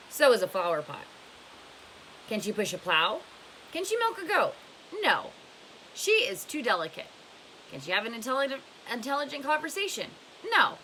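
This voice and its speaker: Woman reading as a blunt old woman, bright voice. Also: fairly high pitch, slight background noise, pitched above the performer's own voice, exaggerated voice, rough recording. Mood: scornful, emphatic. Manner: emphatic, questioning, instructive. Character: blunt, scornful old woman advising a lovestruck boy.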